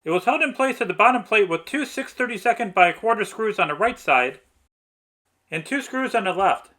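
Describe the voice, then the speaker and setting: This is a man with a notably high, bright voice. He reads scripted tutorial narration as a hobbyist restorer explaining technical work. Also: lively intonation, fairly quick. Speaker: narrator, vintage radio restorer.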